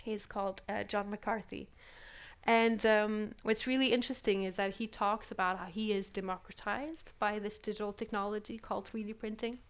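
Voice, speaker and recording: A woman's voice: medium pitch, steady tone, moderate pace, smooth. A woman giving a live keynote talk at a conference, reflective and full of fillers, often asking the audience questions, speaking English as a with as her first. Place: conference hall.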